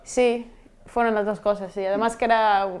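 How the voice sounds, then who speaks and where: A woman, answering interview questions in a relaxed way with frequent restarts, recorded in a studio on a lapel mic. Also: medium pitch, normal speed. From Vigo, Galicia, Spain. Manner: relaxed, many restarts and trailing-off endings. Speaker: guest rapper and software engineer. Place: TV studio set.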